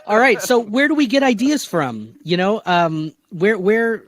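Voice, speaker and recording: A man's voice: high-pitched, expressive, measured pace, a bit bright. A man hosting a casual podcast discussion, speaking with an enthusiastic, emphatic manner. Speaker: podcast host and producer.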